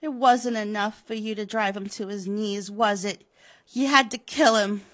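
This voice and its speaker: A woman voicing a grieving, furious widow who speaks in a shrill, bitter, tearful way, told as a spoken story. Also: steady tone, moderate pace, natural voice.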